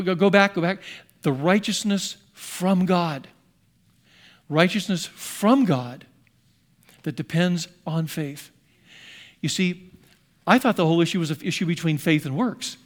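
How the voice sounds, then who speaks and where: A man's voice: sits high, a little slow, long pauses. A man preaching a sermon as pastor, animatedly voicing the apostle in first person with rising emphasis.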